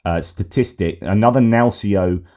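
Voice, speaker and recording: Low, mellow-voiced middle-aged man rambling. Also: normal speed. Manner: rambling, conversational, many fillers and restarts. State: slightly losing his voice. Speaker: host. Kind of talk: casual commentary on news posts. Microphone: headset.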